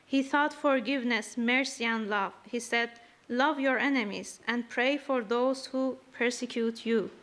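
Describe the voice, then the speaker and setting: A woman who learned English as a second language reads her testimony slowly and carefully. Her voice sits a little high, and she keeps an earnest, steady tone. She speaks in a church. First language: not English. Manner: slow, earnest, careful reading. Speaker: church member giving a testimony. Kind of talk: reading a prepared testimony.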